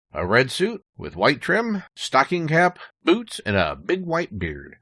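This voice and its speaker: A man's voice: mid-range voice, a bit bright, dry recording, clean studio sound. A man speaking as a podcast guest, giving an explanatory history talk in an interview. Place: small recording booth.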